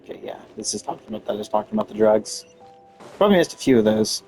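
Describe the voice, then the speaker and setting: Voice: mid-range voice, expressive, fast talker, a little mellow, soft background music. A game streamer giving casual commentary during a playthrough.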